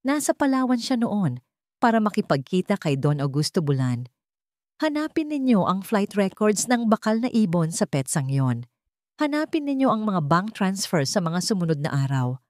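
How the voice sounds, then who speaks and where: A woman voicing a betrayed wife in an audio drama story, composed and bitter, giving firm, deliberate instructions. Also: medium pitch, very animated, darkish tone, no room sound, studio quality, lightly acted. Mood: firm, accusing.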